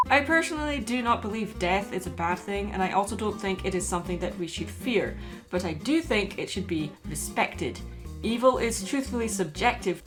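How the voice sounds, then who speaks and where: A woman host reading a scripted video essay in an opinionated, emphatic way. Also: mid-range voice. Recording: music playing behind the voice.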